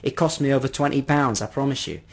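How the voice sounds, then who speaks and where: A man voicing a polite, well-spoken little old gentleman in a storybook, pleading gently with old-fashioned, effusive courtesy. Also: medium pitch, fast, vocal fry, husky. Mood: wistful, pleading.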